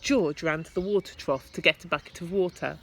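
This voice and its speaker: A woman teacher reading a children's story aloud expressively, with deliberate, dramatic emphasis. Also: fairly low pitch.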